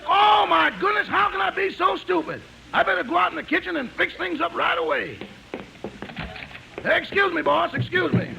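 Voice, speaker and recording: A man playing a devoted, cheeky household servant with a raspy voice, wisecracking emphatically in a 1950s radio comedy. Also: extremely high pitch, normal speed, exaggerated voice. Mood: bustling, excitable. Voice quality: gravelly, raspy. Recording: very noisy 1950s radio recording.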